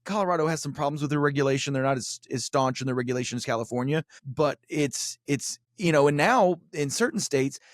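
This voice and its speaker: A man's voice: fairly high pitch, a little fast, hardly any pauses, no room sound, clean studio sound. A middle-aged man chatting casually as a podcast guest, telling rambling, wry, profanity-laced stories with colorful turns of phrase. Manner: animated, profane, wry philosophizing with colorful comparisons. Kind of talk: casual chat, rambling storytelling.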